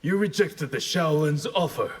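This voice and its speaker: An actor playing a stern, honourable ninja warrior, speaking in a measured, formal way in a video game. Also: male voice, on the high side, lightly acted. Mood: stern, solemn. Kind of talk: video game fight banter. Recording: clear background noise.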